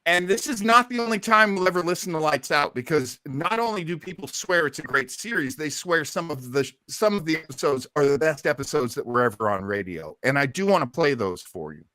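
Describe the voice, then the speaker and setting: A man's voice: a little high, lively intonation, a little fast, few pauses, bright, forceful tone, dry recording. A man chatting casually as the host of a listening party, commenting as he goes.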